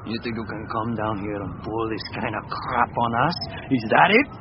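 An actor playing a mocking, violent street gang leader in an audio drama, bullying and taunting. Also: male voice, on the low side, animated, a little fast. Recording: dull, noisy radio recording, street crowd.

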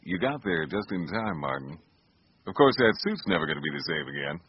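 An actor playing a reassuring, easygoing family doctor in a radio drama. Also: male voice, sits low, big pitch swings, fairly quick, husky, dry recording, full character voice. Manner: reassuring, casual. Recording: muffled radio recording.

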